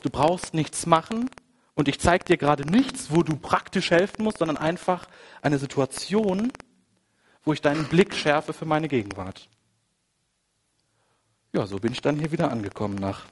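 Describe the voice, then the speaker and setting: A man with a cold preaching a sermon, conversational and full of asides, moving between quiet, reflective passages and emphatic, rising ones. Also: a little high, a little hiss or hum. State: has a cold. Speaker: pastor. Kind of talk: sermon with personal anecdote.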